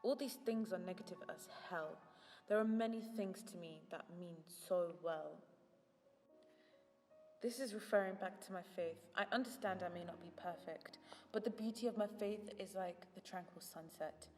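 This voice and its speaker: Low-pitched teenage girl's voice in long reverb. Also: normal speed, darkish tone. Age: teens. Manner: confessional, reflective, addressing a friend. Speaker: student narrating an audio journal. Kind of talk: scripted spoken-word monologue as a voicemail, partly rhymed. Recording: long reverb with music behind. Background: music.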